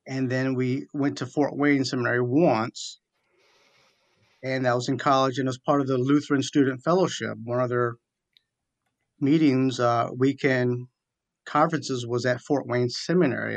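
A man's voice: mid-range voice, dry recording. A middle-aged American man, an interview guest, telling his personal story conversationally and in methodical detail. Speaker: interview guest, military chaplain.

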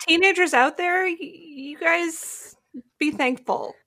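A woman's voice: very high-pitched, slow pace, pushed and bright. A woman podcast co-host chatting casually and reacting to a story with wry, joking comments.

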